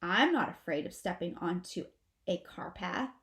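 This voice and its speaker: A woman voicing a brash young male possum in a children's storybook, declaiming loudly and boldly like an eager stage performer. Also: fairly low pitch, animated, normal speed. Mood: boastful. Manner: loud, bold declaiming. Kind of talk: children's storybook character reading.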